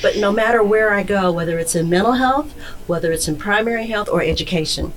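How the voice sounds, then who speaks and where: A middle-aged or older American woman answering as a podcast guest, earnest and emphatic, with deliberate phrasing. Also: medium pitch, poor audio. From Detroit, United States. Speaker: podcast guest, nonprofit chief executive. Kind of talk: conversational interview answers.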